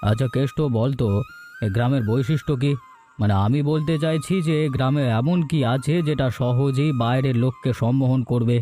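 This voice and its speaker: Man voicing a courteous older uncle, mellow voice. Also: mid-range voice, brisk, faint music behind, natural voice. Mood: curious, measured. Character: courteous older village visitor, a kindly uncle from town. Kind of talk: cartoon folktale dialogue.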